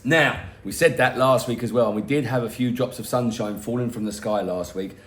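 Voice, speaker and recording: Hoarse man, middle-aged, rambling vlog host, mid-pitched, roomy sound. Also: brisk. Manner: chatty and rambling, self-mocking asides. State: hoarse, losing his voice. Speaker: weather forecast host for a boat tour company. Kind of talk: casual weather vlog. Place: home, in front of a garden.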